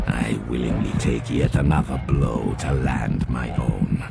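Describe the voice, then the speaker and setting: An actor playing a savage, rage-driven warrior champion in an audio drama, with gravelly, menacing, intense delivery. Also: male voice, unhurried, over loud music, exaggerated voice. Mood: grim, brutal. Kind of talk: audio drama first-person narration. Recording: loud rumbling battle noise behind the voice.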